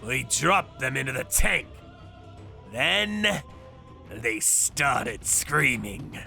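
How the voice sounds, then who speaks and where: A man's voice: medium pitch, sing-song, very slow, gravelly, bright, forceful tone, full character voice. A man voicing a ruthless underworld avenger in a manga dub, menacing and theatrical as he narrates. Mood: grim narration. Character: ruthless male underworld avenger narrating his torture jobs. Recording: background music under voice.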